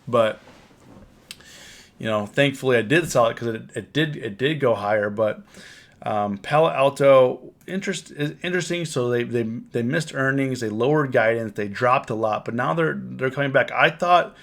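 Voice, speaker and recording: A man with a cold, hoarse and rambling, recapping his trades on a casual live stream and often repeating himself. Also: medium pitch, moderate pace, fairly bright tone. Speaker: options trading live streamer. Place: home, on camera.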